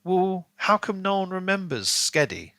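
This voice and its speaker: A man's voice: high-pitched, unhurried, no room sound, clean studio sound. A man chatting casually and animatedly as a podcast co-host in a book discussion. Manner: animated, acts out imagined lines in playful voices.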